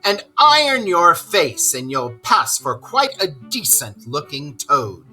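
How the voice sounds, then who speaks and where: Pompous, quick-tempered toad for a children's storybook male reader; forceful, animated, indignant. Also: fairly high pitch, soft background music, full character voice. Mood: taunting. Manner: pompous, blustering. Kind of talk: children's storybook read-aloud.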